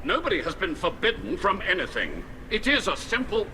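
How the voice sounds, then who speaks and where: A man's voice: sits high, very animated, a little fast, background noise. A man playing a haughty, hostile alien admiral in a video game, arguing in a curt, condescending way. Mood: defensive. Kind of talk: video game dramatic acting.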